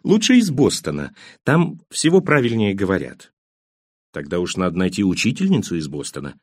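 A man narrator plays a worldly émigré man in an audiobook novel, wry and full of advice. His speech is emphatic and rhetorical, with a very mobile pitch in a dry recording. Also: huge pitch swings, moderate pace, slightly rough, no echo, exaggerated voice. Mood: wry advising.

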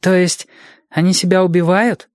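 Man acting as eight-year-old boy, storybook character, raised pitch, curious, obedient, questioning. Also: level tone, normal speed, no room sound, studio quality, close to an everyday voice.

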